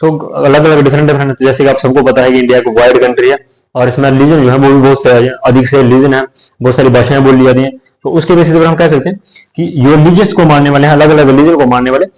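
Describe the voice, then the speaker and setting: A man's voice: mid-range voice, steady tone, fast, darkish tone, poor audio. A man teaching a social studies lesson in a casual, explanatory way, often repeating himself and checking in with his students. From India. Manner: conversational, repeats phrases, checks in with listeners. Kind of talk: explanatory lecture.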